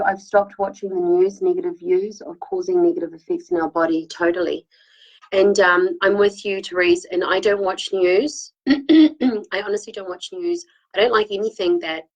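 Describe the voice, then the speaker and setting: A woman with a slight cold, clearing her throat often, giving a rambling, casual spiritual talk full of fillers and restarts. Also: mid-range voice, normal speed, muffled recording. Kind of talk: casual spoken talk, answering viewers' comments.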